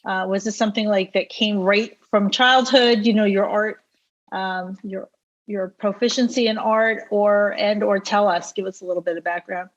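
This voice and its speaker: A woman's voice: medium pitch, fairly even intonation, moderate pace. A woman hosting a podcast interview, asking long rambling questions with many fillers. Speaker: podcast host. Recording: slightly dull lo-fi sound.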